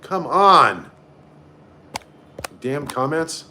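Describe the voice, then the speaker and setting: A man's voice: on the high side, animated, extremely slow, pushed and bright, slight background noise. A man hosting a casual live stream, reading out comments and reacting in a blunt, sarcastic, sweary way. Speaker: livestream host.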